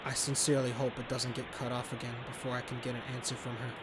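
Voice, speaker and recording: A man's voice: medium pitch, flat delivery, quick pace, heavy background noise. A man reading a horror visual novel aloud as a let's player, dramatic in narration and casual in his reactions.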